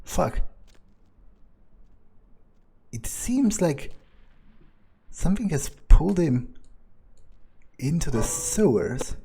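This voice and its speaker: Game vampire, played by a man: unhurried and soft-toned, dry, pragmatic, muses through hesitant pauses. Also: fairly high pitch, big pitch swings, gravelly, a little hiss or hum, full character voice. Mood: startled. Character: detached, pragmatic, curious male vampire. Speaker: player roleplaying a character. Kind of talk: in-character game playthrough narration.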